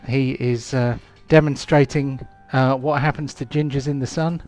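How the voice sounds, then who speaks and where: Rambling game master, chatty. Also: male voice, medium pitch, fairly even intonation, normal speed, darkish tone, soft background music. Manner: rambling, chatty, many ums and restarts. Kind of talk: live-play tabletop game intro.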